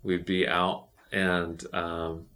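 A man with a fairly low voice, talking in a relaxed, meandering way on a podcast. He drifts between thoughts with plenty of ums and restarts and keeps it casual. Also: extremely slow. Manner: relaxed and rambling, with many fillers and restarts. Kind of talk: casual chat.

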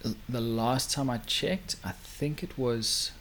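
A man's voice: medium pitch, slow pace, fairly bright tone, a little hiss or hum. A man in his thirties, born in South Africa, co-hosting a podcast in casual chat, rambling with many restarts. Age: 30s. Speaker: podcast co-host. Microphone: podcast microphone.

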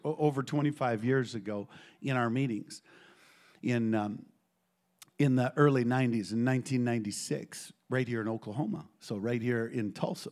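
A middle-aged man preaching to a congregation in a church, telling a personal story with lively call-and-response. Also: medium pitch. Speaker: pastor.

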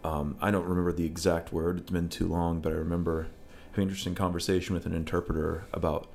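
A man's voice: low-pitched, little pitch movement, fast, vocal fry, a little mellow, slight background noise. A man talking casually in conversation, blunt and wry as he tells stories. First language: English.

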